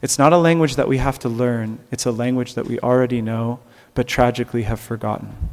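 A man's voice: mid-range voice, steady tone, fairly quick, darkish tone. Man preaching in an echoing hall. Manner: earnest, rising to emphatic peaks, with light laughs. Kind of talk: sermon. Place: church.

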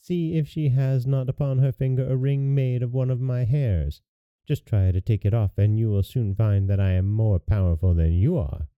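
A man's voice: medium pitch, fairly even intonation, normal speed, smooth, natural voice. A man voicing a wicked, boastful male dwarf in a storybook, defiant and taunting. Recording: roomy, narrow phone-like sound.

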